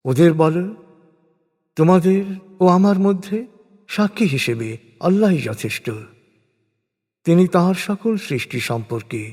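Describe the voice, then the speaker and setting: Man, fairly high pitch, solemn scripture narration, measured phrasing, deliberate pauses, big echoing hall reverb. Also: animated, a little mellow. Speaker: scripture translation reciter. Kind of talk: scripted reading of Quran meaning in translation.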